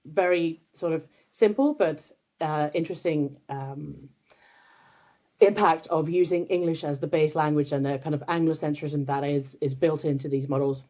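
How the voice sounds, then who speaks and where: A woman's voice: low voice, normal speed, warm tone. A woman from Finland, a native Finnish speaker, talking thoughtfully as a guest expert in a casual interview, with many restarts and fillers. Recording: dull lo-fi sound.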